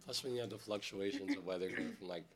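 A man's voice: sits low, fast. A man from New York co-hosting a casual podcast chat, playful and chatty, filling his talk with "like". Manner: chatty, playful, says "like" often. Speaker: podcast co-host.

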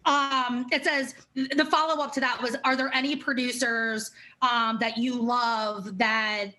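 A woman's voice: a little high, fairly even intonation, measured pace, pushed and bright, poor audio. A woman hosting a casual interview, effusive and chatty, swearing freely and filling with "like" and "um". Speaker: interview host.

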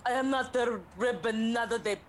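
A woman's voice: fairly high pitch, fairly even intonation, normal speed, slight background noise, lightly acted. A young woman acting a melodramatic young heroine in a student comedy radio play, sprinkling in Spanish words. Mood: dramatic. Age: young adult. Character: young woman torn between duty and an old love.

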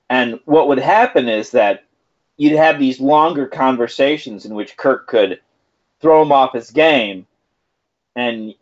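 A man's voice: medium pitch, highs cut off. A man co-hosting a podcast who thinks aloud in casual talk, with restarts and acted-out hypothetical lines. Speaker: podcast co-host. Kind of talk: casual analytical chat.

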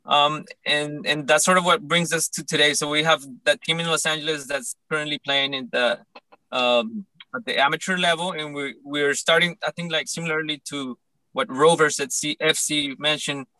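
A man's voice: a little high, fairly even intonation, moderate pace, bright, forceful tone, dry recording, rough recording. A young man from Colombia, founder of a club, telling his story at length in a casual panel chat with easy fillers. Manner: fluent, expansive storytelling with fillers.

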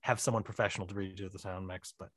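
A man chatting as an interview guest, wry and self-deprecating, often halting as he explains things. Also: deep voice, steady tone, fairly bright tone, no room sound, studio quality. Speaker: podcast guest, writer and director. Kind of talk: casual conversational interview.

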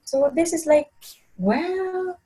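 A woman's voice: sits high, expressive, slow pace, warm tone. A woman, telling her startup story as a podcast guest with upbeat enthusiasm and frequent repeats. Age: 30s. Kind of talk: casual interview storytelling.

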